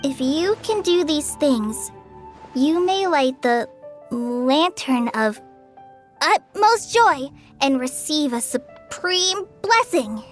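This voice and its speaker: A woman playing a shy, friendly teenage girl in a video game, gentle and a little tentative. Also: high voice, animated, takes it slowly, faint music behind. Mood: reading slowly. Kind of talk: video game character dialogue.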